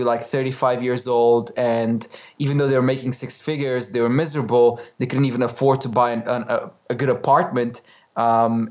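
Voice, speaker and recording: A young man from Romania, telling his story as an interview guest in a chatty, informal way over a video call. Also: mid-range voice. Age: young adult. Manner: chatty, laughs easily, loose and informal. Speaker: guest, course creator. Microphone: headphones, video call. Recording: slightly dull call audio.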